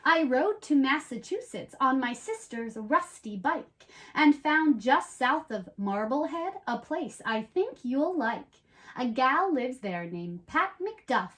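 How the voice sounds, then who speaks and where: A woman with a high, very lively voice reads aloud as a children's show host. She tells stories expressively, with playful chat, and explains things in simple terms for young listeners. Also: takes it slowly.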